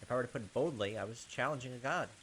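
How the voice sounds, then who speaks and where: A man with a mid-range voice reads visual novel narration aloud as a playthrough host, dropping into informal asides. A clear hiss sits behind the voice. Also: medium pitch, rapid-fire, a bit bright. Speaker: host of a game playthrough channel.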